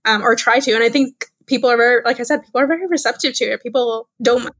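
A woman's voice: on the high side, steady tone, a bit bright, dry recording. A woman whose first language is Spanish, chatting casually as a podcast co-host, with lots of "like" fillers. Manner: chatty, many "like" fillers, quick asides. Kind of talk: casual chat reviewing a TV series.

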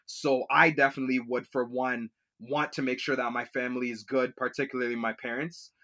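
A man's voice: mid-range voice, flat delivery, a little fast, bright, forceful tone. A young man from Liberia, raised in Kenya and Canada, answering interview questions in a rambling way, with many fillers and restarts. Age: young adult. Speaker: student guest.

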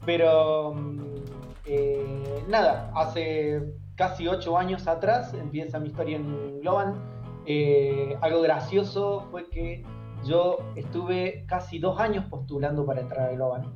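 A man with an Argentine accent telling anecdotes casually as an interview guest, pausing and repeating words as he thinks. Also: on the high side. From Córdoba, Argentina. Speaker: guest, software developer. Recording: dull, echoey sound with music behind. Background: guitar music.

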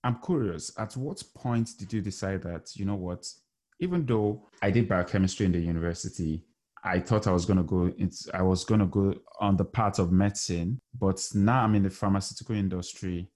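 A man's voice: deep voice, brisk, a little mellow. A man hosting a podcast, reading a scripted guest introduction and asking conversational questions. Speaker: podcast host. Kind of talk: podcast interview.

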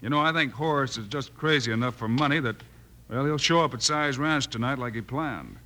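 A man's voice: mid-range voice, brisk, close to an everyday voice. An American actor playing a level-headed, authoritative lawman hero in an old western radio drama. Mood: determined. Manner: calm, authoritative. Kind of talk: 1950s western radio acting. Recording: faintly noisy old radio recording.

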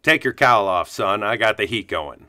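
Man playing a bright-voiced, folksy bar owner. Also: fairly low pitch, animated, moderate pace, a little hiss or hum. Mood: genial, knowing. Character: avuncular male bar owner serving retired and rookie superheroes. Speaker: actor in a comedy audio drama. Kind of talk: comic radio theatre acting.

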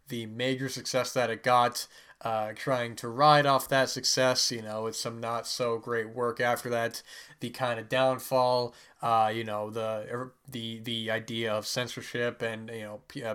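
A man's voice: mid-range voice, a bit bright, clean tone. A man who is sick and stuffy, hosting a podcast and casually reviewing a book in a rambling way with many fillers. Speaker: podcast host.

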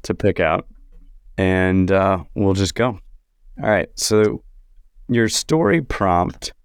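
Male podcast host, very low voice, calm and understated, dry close mic, light guitar bed. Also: slow pace, clean tone, no room sound. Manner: calm, low-key, self-deprecating. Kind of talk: casual chat.

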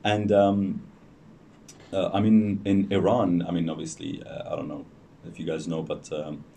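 A young man, a podcast guest talking casually and hesitantly with many pauses and restarts. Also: sits low, flat delivery, creaky, mellow. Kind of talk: casual interview chat. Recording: distant mic with faint noise.

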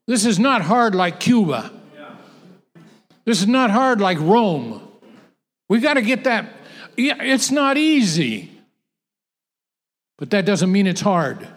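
Emphatic preacher in a reverberant hall. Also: male voice, high-pitched, a bit bright. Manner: emphatic, repetitive, with halting restarts. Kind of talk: sermon to a conference audience. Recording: long hall reverb, voice off-mic.

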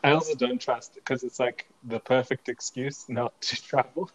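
A man's voice: mid-range voice, fairly even intonation, normal speed, rough recording. A man joining a podcast as a guest in casual chat, joking and laughing often.

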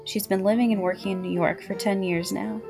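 A woman host welcoming listeners and introducing a guest in a brisk, scripted way. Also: mid-range voice, fairly even intonation, creaky. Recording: voice over guitar music.

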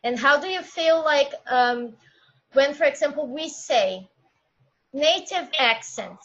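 Slow, high-voiced Brazilian woman. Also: expressive, fairly bright tone, highs cut off. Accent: Brazilian Portuguese. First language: Portuguese. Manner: thinks aloud, hesitant, searching for words. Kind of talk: casual discussion.

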